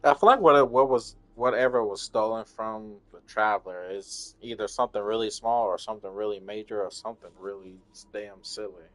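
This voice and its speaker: A man's voice: lowish voice, fairly quick, few pauses. A man giving casual, profane, slangy commentary as he plays a video game, bluntly reacting to the story. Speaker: gamer reacting while playing. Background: game music.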